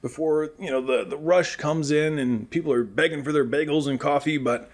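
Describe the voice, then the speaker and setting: A man playing an affable, earnest radio show host in a post-apocalyptic comedy drama, chatty, rambling and gently teasing. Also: on the high side, moderate pace. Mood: sincere, grateful.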